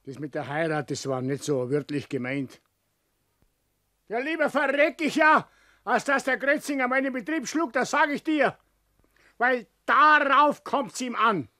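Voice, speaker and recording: A man's voice: very high-pitched, animated, measured pace, pushed and bright, dry recording, clean studio sound, exaggerated voice. An actor with a Bavarian accent playing a stubborn, ailing, domineering old father and company boss in a 1960s German radio drama, blustering and grumbling. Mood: grumbling, defiant. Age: older. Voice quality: short of breath, coughing at times.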